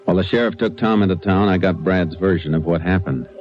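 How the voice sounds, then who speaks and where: Man playing a deep-voiced laconic Texas Ranger. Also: natural voice. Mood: terse narration. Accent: American. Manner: laconic, measured, matter-of-fact. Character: calm, probing, laconic Texas Ranger investigator and narrator. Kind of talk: 1940s-50s radio drama acting. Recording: dull vintage radio recording.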